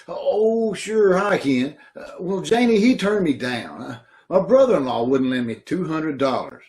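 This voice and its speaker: A man's voice: a little high, very animated, measured pace, darkish tone. A man playing an anxious younger brother begging for a loan, in old-time radio comedy style, from home on a video call. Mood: pleading. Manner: wheedling, anxious. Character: pleading broke younger brother. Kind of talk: 1930s radio comedy acting.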